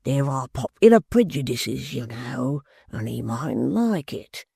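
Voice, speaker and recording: A man's voice: mid-range voice, big pitch swings, measured pace, vocal fry, dark tone, husky, no room sound, studio quality, full character voice. A man putting on a wheezing, gleeful old hangman. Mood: hoarse whisper.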